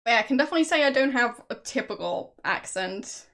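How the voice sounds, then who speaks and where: A woman's voice: a little high, expressive, a little fast, fairly bright tone. A young woman from Austria with an Austrian German accent, chatting casually with her stream viewers and laughing often. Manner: chatty, laughs often, answers chat guesses.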